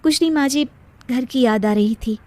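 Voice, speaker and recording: A woman voicing a sensible young married elder sister in a cartoon moral story, earnest and gently chiding. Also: high-pitched, moderate pace, voice pushed up, lightly acted. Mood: cheerful.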